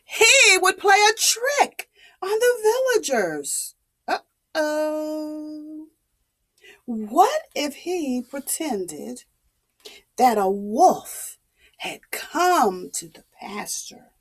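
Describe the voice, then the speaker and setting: A woman telling a story to young children in a dramatic, expressive, deliberate way. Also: high-pitched.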